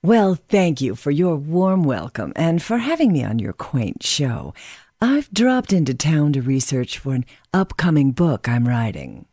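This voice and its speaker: A woman's voice: deep voice, sing-song, a little slow, dark tone, no echo, studio quality, exaggerated voice. A woman playing a haughty best-selling author and doctor as a guest in a radio drama. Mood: polite, self-important. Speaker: talk show guest character.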